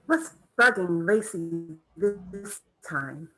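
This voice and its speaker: A woman acting a strict young mother at a drama table read, with a husky voice. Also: on the low side, expressive, very slow, fairly bright tone, faint music behind, full character voice. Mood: mildly concerned.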